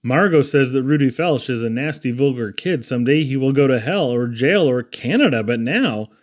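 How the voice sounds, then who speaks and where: A man reading humorous children's poetry aloud in a theatrical, rhythmic, expressive way. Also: mid-range voice, normal speed. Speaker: poetry reader.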